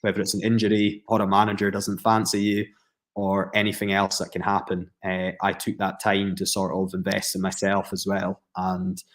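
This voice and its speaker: A man's voice: sits low, monotone, moderate pace. A Scottish man, a professional footballer, giving long reflective answers in a podcast interview, with frequent fillers and restarts.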